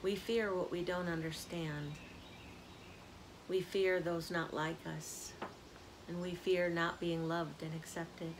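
An older woman, a community minister, giving a gentle spiritual reflection on an outdoor porch, filmed by a camera close up. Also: on the low side, flat delivery, background noise. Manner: slow, soft-spoken, reflective. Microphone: camera at close range. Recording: outdoor ambience audible behind.

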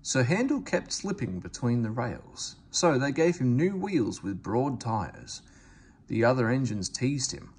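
A man reading a children's storybook aloud as narrator, in an expressive way. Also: medium pitch, a little slow, husky. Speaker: storybook reader. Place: indoors at home, book on camera.

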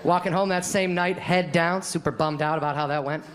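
A man in his thirties doing a deadpan stand-up routine on a comedy club stage, acting out the voices of the people in his stories, into a handheld mic. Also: on the high side, fairly quick, background noise. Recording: live club recording with crowd laughter. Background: audience laughter.

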